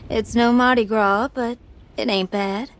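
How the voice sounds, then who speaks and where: A woman's voice: fairly high pitch, steady tone, unhurried, close to an everyday voice. An actress playing a breezy, folksy woman of 1930s Louisiana in a video game. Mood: breezy, welcoming. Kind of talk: video game cutscene acting.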